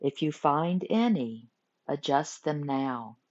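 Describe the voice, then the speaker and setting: A woman teaching guided meditation, giving gentle instructions in short phrases with pauses between them. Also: low-pitched, lively intonation. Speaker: yoga nidra meditation teacher. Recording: muffled lo-fi recording.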